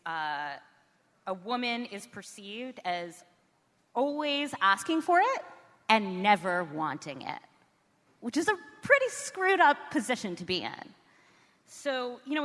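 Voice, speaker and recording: Female author interviewee, bright forceful voice, explanatory, reverberant stage hall. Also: mid-range voice. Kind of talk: live interview.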